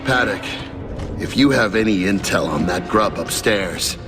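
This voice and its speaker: A man's voice: fairly low pitch, lively intonation, unhurried, music in the background. A man playing a practical, sardonic squad soldier in a video game.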